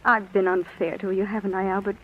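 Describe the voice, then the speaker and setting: Lady as a quiet worried wife in an old radio drama, gentle, medium pitch, thin noisy sound. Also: flat delivery, a little fast, natural voice. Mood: subdued. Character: subdued, concerned, withdrawn wife. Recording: tinny, noisy vintage radio recording.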